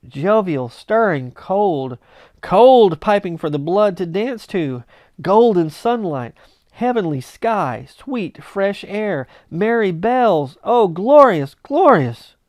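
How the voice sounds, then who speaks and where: A man reading a classic story aloud as narrator, with expressive, theatrical and emphatic delivery. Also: sits high, slow pace, dry recording, studio quality. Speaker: narrator, audiobook reader.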